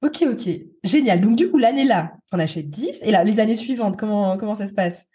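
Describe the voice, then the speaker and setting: A woman's voice: medium pitch, a little fast, warm tone. A woman hosting a casual interview, encouraging her guest and asking curious, informal questions. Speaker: podcast host, interviewer.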